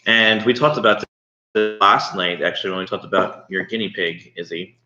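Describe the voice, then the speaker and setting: A low, forward-sounding male voice on a slightly dull recording. He speaks as a grade school teacher, talking pupils through things in a conversational, instructive way. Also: moderate pace, sharp tone. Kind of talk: informal online read-aloud and assignment talk to pupils.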